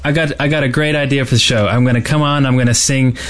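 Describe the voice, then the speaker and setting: Male podcast co-host, mid-pitched, loose and hesitant in casual group conversation. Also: steady tone, fast. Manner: rambling, hesitant.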